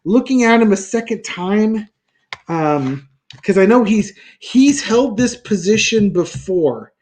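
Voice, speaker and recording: A man's voice: high-pitched, animated, slow, darkish tone. A man reading tarot cards in a casual talk show, thinking aloud with many fillers and restarts. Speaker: tarot reader.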